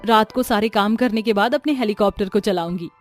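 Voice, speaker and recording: A woman's voice: mid-range voice, a little fast, soft background music, lightly acted. A woman voicing an earnest young village wife who thinks aloud in a storybook cartoon. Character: young village wife, engineer, later a witch.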